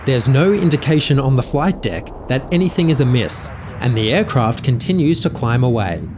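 A man's voice: mid-range voice, lively intonation, normal speed, noisy background. A man delivering scripted documentary narration.

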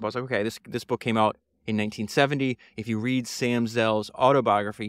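Man, earnest rambling podcast talk, smooth resonant voice, dry close mic. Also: medium pitch, no echo. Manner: earnest, rambling, many fillers and restarts. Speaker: podcast host. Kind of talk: solo podcast book review.

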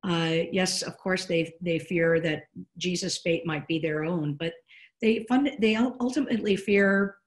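Earnest middle-aged woman, lowish voice. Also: measured pace. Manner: earnest, reflective, gestures with hands while talking. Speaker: podcast co-host discussing preaching. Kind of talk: casual podcast discussion. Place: home room over a video call.

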